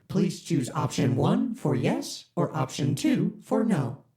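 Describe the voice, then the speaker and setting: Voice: mid-range voice, big pitch swings, slow, creaky, warm tone, full character voice. An actor voicing a chipper, formal corporate spaceship AI in an audio drama, speaking like a scripted announcer. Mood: prompting. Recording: roomy echo.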